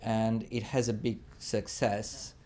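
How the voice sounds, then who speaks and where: A man's voice: fairly low pitch, flat delivery, warm tone, reverberant, a little hiss or hum. Hesitant young craftsman. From Hungary. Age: 20s. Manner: hesitant, many pauses and fillers. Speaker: leather craftsman. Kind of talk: interview about his small business.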